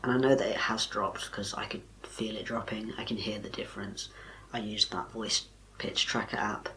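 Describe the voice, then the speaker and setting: A young man, talking casually to camera in a soft, quiet, breathy voice with little power behind it. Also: on the low side, fairly even intonation, normal speed, in a whisper, low-quality recording. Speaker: vlogger.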